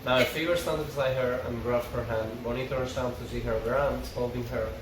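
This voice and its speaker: A man's voice: mid-range voice, fairly even intonation, fairly quick. A man reading stage directions aloud as narrator at an informal script table read. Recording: echoey, distant mic, clear background noise.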